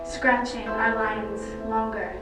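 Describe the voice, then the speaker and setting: A woman from Cyprus performing slam poetry as a poet, with deliberate, impassioned phrasing and dramatic pauses. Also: on the high side, little pitch movement. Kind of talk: slam poetry recital, dramatic spoken word. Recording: very echoey, distant mic, loud music. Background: loud music.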